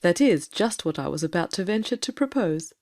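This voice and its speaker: A woman playing a troubled, courteous gentleman doctor in a dramatic audiobook reading, with a hesitant, formal way of speaking. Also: on the low side, animated, brisk, dry recording, clean studio sound. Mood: courteous, wistful.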